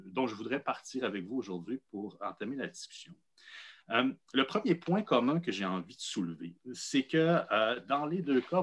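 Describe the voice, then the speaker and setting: A man with a Québécois accent hosting a literary interview in a measured, explanatory way. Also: mid-range voice, a bit bright. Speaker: host, interviewer.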